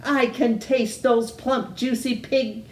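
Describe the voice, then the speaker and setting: A woman voicing a grouchy, greedy old witch who screeches and shouts. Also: on the high side, fairly even intonation, takes it slowly. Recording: echoey room.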